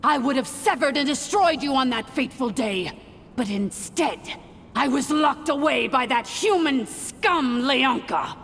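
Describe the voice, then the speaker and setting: An actress playing a vengeful, contemptuous ancient machine sovereign in a video game, speaking in grand, scornful phrases. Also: female voice, sits high, expressive, measured pace, vocal fry, a bit bright, big-room sound, a little hiss or hum, full character voice. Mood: outraged fury. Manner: imperious, scornful, archaic grand speech. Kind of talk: dramatic video game voice acting.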